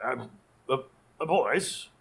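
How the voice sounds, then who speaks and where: An actor playing an earnest Victorian doctor who narrates dramatically in a radio drama, with a gravelly, husky voice. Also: male voice, medium pitch, lively intonation, slow pace, a bit bright, full character voice, poor audio. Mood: puzzled, amazed.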